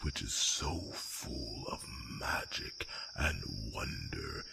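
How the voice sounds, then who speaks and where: Very deep gravelly dragon. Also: male voice, expressive, heavily acted. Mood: haughty, grave. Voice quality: very deep, gravelly, breathy near-whisper. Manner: slow, formal, drawn-out phrasing with rumbling hums. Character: ancient male dragon, courteous, proud, gravely slow-spoken. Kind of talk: ASMR fantasy roleplay. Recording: noisy track with loud nature ambience.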